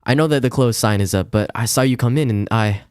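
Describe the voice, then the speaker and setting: A man's voice: medium pitch, a little fast, clear, resonant tone, dry recording, pitched above the performer's own voice, close to an everyday voice. A man voicing a timid, distressed young woman in a visual novel, hesitant and apologetic. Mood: frantic, pleading.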